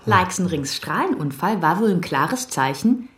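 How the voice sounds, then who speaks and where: An actress as a low-voiced female police detective. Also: lively intonation, moderate pace, roomy sound, close to an everyday voice. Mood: matter-of-fact. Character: businesslike female police detective reporting to her boss. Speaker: actress in a crime radio drama. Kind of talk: German radio play dialogue.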